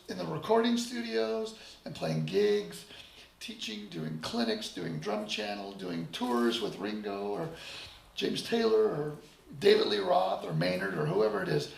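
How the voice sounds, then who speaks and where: A middle-aged man with a raspy voice, talking enthusiastically and casually to a clinic audience in a music store. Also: sits high. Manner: enthusiastic, rambling. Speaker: drummer giving a clinic. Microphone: handheld microphone. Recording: echoey room.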